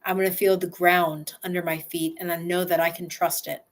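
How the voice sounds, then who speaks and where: Soft-spoken woman guiding a relaxation exercise. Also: lowish voice, little pitch movement. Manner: slow, soft, first-person self-guiding with sighs. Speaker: somatic exercise guide.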